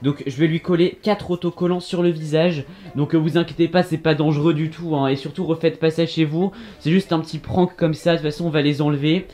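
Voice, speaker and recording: A man's voice: a little high, fairly even intonation, fairly quick, a little mellow. A young man vlogging at home as a big brother, teasing and laughing often in a playful way, recorded on the camera mic. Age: young adult. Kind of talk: playful family vlog. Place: indoors, bedroom and kitchen of a home.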